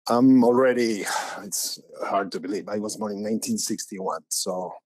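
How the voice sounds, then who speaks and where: A man's voice: on the low side, moderate pace, a little mellow, no room sound. A man in his sixties from Spain with a Spanish accent, a painter talking casually as an interview guest, rambling with many fillers and restarts. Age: 60s.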